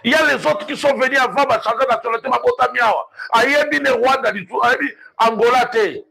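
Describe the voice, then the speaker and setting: Congolese guy arguing politics, sharp gravelly voice, really emphatic, keeps repeating himself and asking questions. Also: very high voice, fast, poor audio. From Democratic Republic of the Congo. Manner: emphatic, repeats words, asks rhetorical questions. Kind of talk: impassioned political argument, mixing languages.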